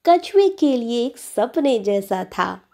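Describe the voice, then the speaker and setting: A woman's voice: fairly high pitch, sing-song, takes it slowly, warm tone. A woman narrating a scripted children's fable as a storyteller.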